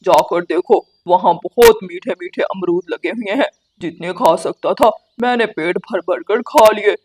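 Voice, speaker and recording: A woman's voice: on the low side, a little slow, a little mellow, rough recording. A woman voicing a scheming, mocking male crow in a children's cartoon, with a sly, taunting way of talking. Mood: sly, coaxing. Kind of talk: Hindi children's cartoon dialogue.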